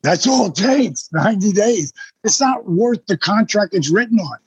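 A man in his sixties with a husky voice, a guest telling his story in a blunt, emphatic way with some swearing. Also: sits high, animated, normal speed, no room sound, lo-fi sound. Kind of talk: casual storytelling in an interview.

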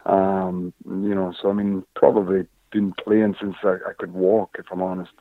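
Man from scotland, lowish voice, halting with fillers, dry tinny phone-line sound. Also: flat delivery, dry recording. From Scotland, raised in northern England. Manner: hesitant, many fillers and restarts. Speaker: guest, former football player. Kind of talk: casual interview, storytelling.

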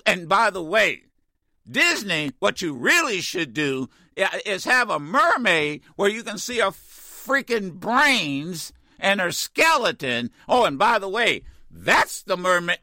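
A man hosting a talk radio show, ranting in an animated, exasperated way with many stammered restarts, his voice getting worn. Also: sits high, slow, no echo, clean studio sound. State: losing his voice. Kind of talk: opinionated talk radio rant.

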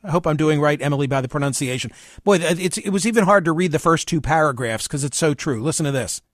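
Higher-pitched male radio host, dry studio sound. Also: animated, fast, no echo. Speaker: radio talk show host. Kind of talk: conversational news read-through, reading aloud and commenting.

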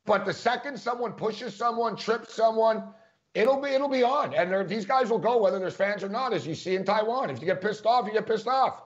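A man's voice: high voice, fairly quick. A man co-hosting a casual sports talk show, chatty, laughing often and full of fillers. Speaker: sports podcast co-host. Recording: dull lo-fi sound.

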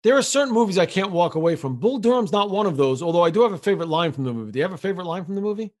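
A man hosting a podcast, eagerly firing quick questions back and forth with his guest. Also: high voice, animated, a little mellow. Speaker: podcast host. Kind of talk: podcast conversation.

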